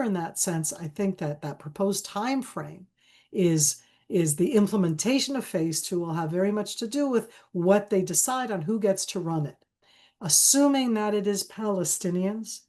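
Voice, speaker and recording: A woman's voice: mid-range voice, a little mellow. An Israeli woman with a cold, speaking as a guest analyst in an online Q&A, emphatic and repeating key phrases. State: has a cold. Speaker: guest speaker on a webinar panel.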